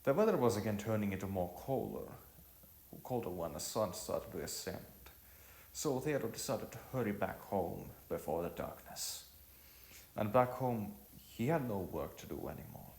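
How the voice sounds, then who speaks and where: A man reading a story aloud as an amateur narrator, haltingly, often repeating and correcting his words. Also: on the low side, animated, a little mellow, reverberant.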